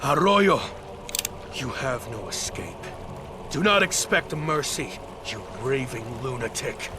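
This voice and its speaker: Stern game hero captain, stiff formal talk, slow but swingy pitch, music behind. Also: male voice, very animated, noisy background. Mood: threatening. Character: grim, stern soldier captain, haunted righteous male hero. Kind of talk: dramatic video game dialogue. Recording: loud music under the voice.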